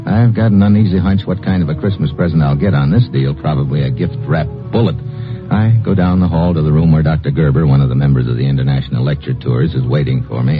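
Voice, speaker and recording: A man playing a tough, wry government agent in an old radio adventure, wisecracking and clipped. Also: very deep voice. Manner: wisecracking, clipped, hard-boiled. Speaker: lead actor and narrator of a radio drama. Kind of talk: 1950s radio adventure acting, hard-boiled narration. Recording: muffled old radio broadcast, music behind.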